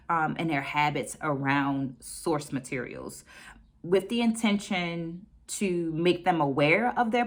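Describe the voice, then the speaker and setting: Female educator-host, low register, thoughtful direct-to-viewer talk, deliberate phrasing with repetition for emphasis. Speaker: educator and video host presenting book takeaways. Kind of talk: reflective talk to camera for an online video.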